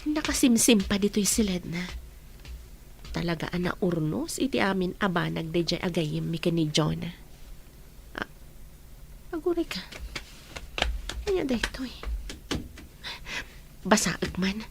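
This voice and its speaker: A woman's voice: lowish voice, sing-song, measured pace, gravelly, pauses often, a little hiss or hum, full character voice. A woman acting a young, nosy and secretive friend in an Ilocano radio drama. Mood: secretive, suspicious.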